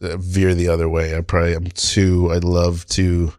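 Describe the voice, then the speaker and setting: A man's voice: deep voice, monotone, normal speed, darkish tone, no room sound, clean studio sound. A man hosting a casual discussion of improv in an easy conversational style. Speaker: podcast host and improv comedian.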